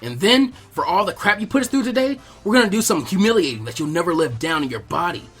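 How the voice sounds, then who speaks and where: A man's voice: high-pitched, lively intonation, fast, a bit bright, husky, faint music behind, heavily acted. A man voicing a brash, cocky boy ninja trainee in an anime fan story. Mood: mischievous.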